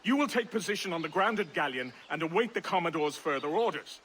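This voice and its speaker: A stern, imperious navy officer for a video game. The voice is high and piercingly forceful, barking commands over faint noise. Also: male voice, expressive, fairly quick. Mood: stern, urgent. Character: barking, imperious male British naval officer. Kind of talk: video game character acting.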